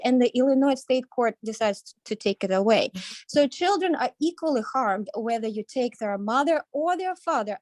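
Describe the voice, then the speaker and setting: A woman's voice: high-pitched, no room sound. A woman from Ukraine with a Ukrainian accent, speaking earnestly as a guest advocate in a prepared statement.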